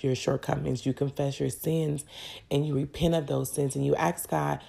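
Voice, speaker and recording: A woman hosts her faith podcast with a very deep voice full of gravelly fry. She sounds crackly and congested from a cold, and talks in an earnest, personal, encouraging way. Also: level tone, moderate pace, warm tone. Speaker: podcast host.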